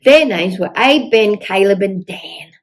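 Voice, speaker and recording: An older woman reading a picture book aloud to young children in an expressive storytelling manner. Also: mid-range voice, unhurried, a little hiss or hum. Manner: expressive, with exaggerated dialogue.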